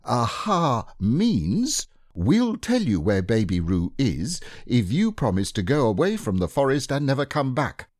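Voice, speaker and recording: A man's voice: mid-range voice, huge pitch swings, a little slow, hardly any pauses, darkish tone, no room sound, studio quality, pitched above the performer's own voice. A man voicing a bossy, fussy male rabbit who talks in a precise, self-important way in an audiobook reading.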